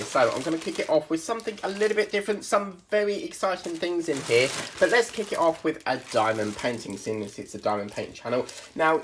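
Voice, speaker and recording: A British man with a croaky, bunged-up voice from a cold, hosting a casual unboxing chat and rambling with lots of ums. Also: on the high side, background noise. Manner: chatty and rambling, many ums. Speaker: crafting channel host.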